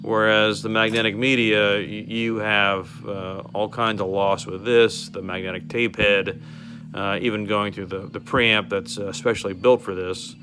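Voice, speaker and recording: Low-voiced man giving an informal demo. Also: little pitch movement, measured pace, music in the background. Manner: explanatory, step-by-step. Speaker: car radio restorer demonstrating a converted radio. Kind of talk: informal product demonstration to a customer.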